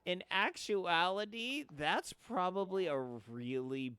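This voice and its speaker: High-pitched, lively tenor man chatting on stream. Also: slow pace, clean tone, dry recording. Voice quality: self-described high tenor. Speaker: game streamer. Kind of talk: casual live-stream chat. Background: video game music.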